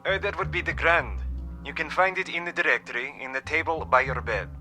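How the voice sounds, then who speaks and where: An actor playing a brisk, polite hotel desk clerk on the telephone in a vintage television drama. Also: male voice, a little high, sharp tone, soft background music. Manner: businesslike. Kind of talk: 1960s television drama acting.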